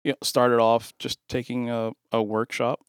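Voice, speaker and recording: A man with a Chicago accent co-hosting a podcast in halting, easy-laughing casual chat. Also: medium pitch, level tone, clear, resonant tone, no echo, clean studio sound. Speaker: podcast co-host.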